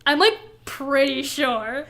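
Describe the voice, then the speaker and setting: A woman's voice: high voice, lively intonation, takes it slowly, fairly bright tone. A young woman co-hosting a podcast, bantering casually in a slangy, joking, exaggerated way. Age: young adult. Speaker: podcast co-host.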